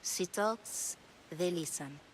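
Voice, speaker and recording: A woman's voice: lowish voice, takes it slowly, slight background noise, rough recording. A woman playing a worldly, past-her-prime prostitute with a teasing, cynical manner in a video game. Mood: knowing. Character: worldly, wry aging female prostitute in ancient Greece. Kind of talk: video game cutscene acting.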